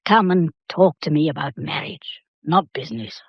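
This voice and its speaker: A woman's voice: sits low, lively intonation, moderate pace, slightly rough, no room sound, heavily acted. Imperious old English grandmother. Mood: brisk, advising. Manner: brusque, haughty, old-fashioned. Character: imperious, sharp-tongued grandmother of nearly ninety. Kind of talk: radio drama acting. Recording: dull, muffled radio play recording.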